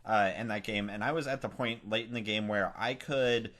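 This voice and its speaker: A man's voice: on the low side, moderate pace, a bit bright. A man co-hosting a gaming podcast, chatting casually. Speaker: podcast co-host.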